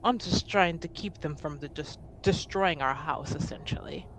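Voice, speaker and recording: A woman voicing a weary, formal noblewoman in a tabletop game, with a measured, guarded and exasperated manner. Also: low voice, slight background noise, lightly acted, rough recording. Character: weary, formal noblewoman acting for her house.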